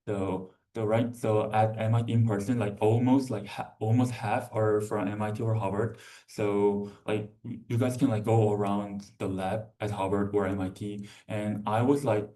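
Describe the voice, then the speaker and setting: Young male student presenter, dark low voice, hesitant and filler-heavy, picked up from a distance. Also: little pitch movement. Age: young adult. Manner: halting, repetitive, heavy use of "like". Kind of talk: informal conference presentation. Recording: distant microphone.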